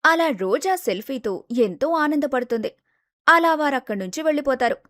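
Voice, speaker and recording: High-voiced woman narrating a story. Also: expressive, normal speed, a bit bright, dry recording, studio quality. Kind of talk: scripted moral story narration.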